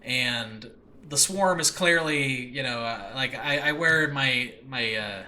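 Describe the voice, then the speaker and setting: A man with a very bright, forceful voice at a middling pitch talks like an excited podcast host. He rambles casually and with lots of enthusiasm. It sounds like a makeshift home setup, with faint noise behind him. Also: slow pace. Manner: enthusiastic, animated, peppered with chuckles and mild swearing. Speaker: podcast host and audio drama creator. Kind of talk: casual solo rambling chat about a show in progress. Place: indoors in a makeshift home space.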